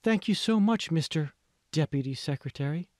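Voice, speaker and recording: Man narrator as young Chinese woman, audiobook, breathy, raised pitch, demure, deferential, careful, dry sound. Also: animated, moderate pace, a little mellow, no echo, natural voice.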